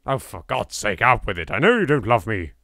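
Animated English man hosting a playthrough. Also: fairly low pitch, fairly quick, vocal fry, a little hiss or hum. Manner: hammy, bantering, mock-posh. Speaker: let's play host. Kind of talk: theatrical gaming commentary.

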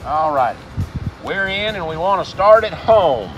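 A man's voice: fairly high pitch, big pitch swings, measured pace, a bit bright, lo-fi sound, faint music behind. A man in his fifties presenting a plain-spoken, step-by-step how-to as a service advisor, recorded outdoors. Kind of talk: instructional how-to demonstration. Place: outdoors in a dealership parking lot.

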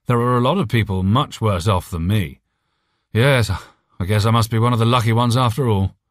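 A drunk, morbid scientist from an audiobook tale, acted out by a male narrator, rambles on with smug, pitying sarcasm. His speech is cynical and loose, lifted in pitch. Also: normal speed, no echo, studio quality, natural voice.

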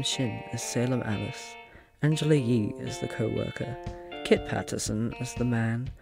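A man's voice: medium pitch, creaky. A man reading scripted podcast credits as the announcer. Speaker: credits reader.

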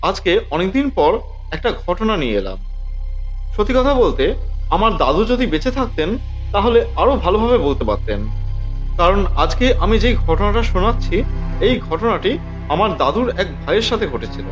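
Animated high-voiced man telling stories. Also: moderate pace, dull audio, faint music behind. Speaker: host and narrator of a ghost story podcast. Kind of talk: scripted dramatic storytelling narration.

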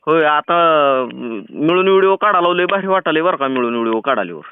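Male prank caller, rural Maharashtrian accent, high-pitched, chatty and pushy, on a narrow phone line. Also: animated, quick pace, clear, resonant tone. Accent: rural Maharashtrian Marathi. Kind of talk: casual teasing phone chat. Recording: tinny, phone-like band-limited sound.

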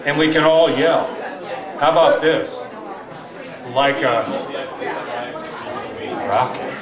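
An older man in his sixties gives a live poetry reading, with deliberate dramatic pauses and wry asides. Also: a little high, a loud crowd. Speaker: poetry reader at an open mic. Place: small tavern stage indoors. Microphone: stand microphone, captured from far camera. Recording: roomy live tavern, distant mic, audience noise.

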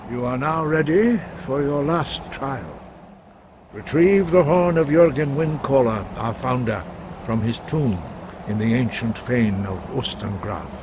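A man voicing a solemn elderly monk who guides a student through trials, speaking in a measured, grave way. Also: medium pitch, animated, noisy background, close to an everyday voice. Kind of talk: game dialogue.

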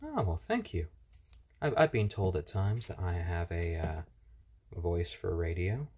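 A man hosting a casual live stream at home on his phone, speaking gently to viewers and to baby chicks. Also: low voice, very animated, a little slow, a little hiss or hum. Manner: gentle, soft-spoken, talks to chat and chicks. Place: home room. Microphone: handheld phone.

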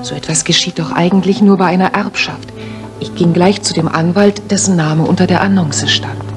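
A woman's voice: fairly low pitch, moderate pace, close to an everyday voice. An actress playing an earnest, polite young lady music teacher in a German radio drama, carefully telling her story. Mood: earnest recounting.

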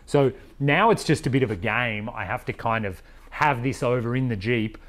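A man's voice: medium pitch, moderate pace, fairly bright tone. A man in his forties talking casually to camera like a DIY vlogger, thinking aloud as he explains things in a conversational way. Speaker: vlogger presenting a DIY vehicle install.

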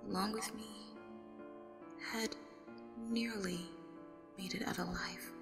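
A woman playing a haunted, grieving survivor who recounts a horror ordeal in a breathy half-whisper, as dramatic audio drama narration. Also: medium pitch, steady tone, slow pace, over music. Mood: bitter, grim.

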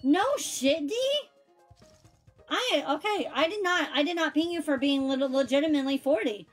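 Woman, 30s, high-pitched streamer host, chatty and loose, heavy on "like". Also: moderate pace, faint music behind. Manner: chatty, fills speech with "like". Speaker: streamer hosting a game stream. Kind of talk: casual chat while gaming.

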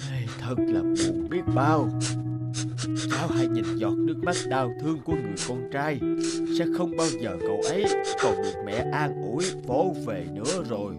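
A man voicing a kindly, wise old guardian angel in a cartoon, explaining things gently and solemnly. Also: medium pitch, wildly swooping pitch, loud music behind the voice. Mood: sorrowful, solemn. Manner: slow, sententious, gently explaining. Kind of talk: Vietnamese cartoon dubbing.

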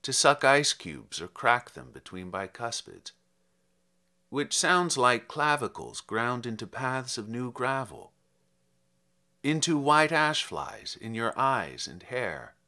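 Man, poet's reading, slow and deliberate, lively pitch movement, dramatic emphasis. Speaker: poet reading own poems. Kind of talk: literary poetry reading.

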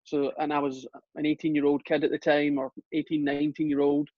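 Scottish man, flat, slightly high voice. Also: fairly quick, no room sound. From Scotland. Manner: relaxed, with frequent fillers and restarts. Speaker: guest, soccer coach. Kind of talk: casual interview chat. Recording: dull, lo-fi sound.

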